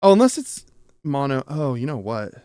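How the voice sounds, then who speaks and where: A man's voice: medium pitch, big pitch swings, normal speed, warm tone, no room sound. A man chatting casually as he tinkers on a livestream, thinking aloud in a rambling, self-interrupting way with casual swearing. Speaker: streamer and audio tinkerer. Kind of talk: casual livestream chat while repairing gear.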